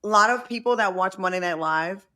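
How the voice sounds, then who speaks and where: A woman's voice: medium pitch, a little fast, pushed and bright. A woman with a New England accent, chatting casually and laughing at herself as a co-host. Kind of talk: casual chat.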